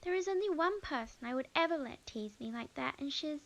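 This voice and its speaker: Woman acting a high-voiced, flustered young witch. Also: creaky, highs cut off. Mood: startled, annoyed. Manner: halting, expressive, talks to herself. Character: young witch woman, flustered, sweet, chatty, fond of friend. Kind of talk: ASMR roleplay audio drama monologue.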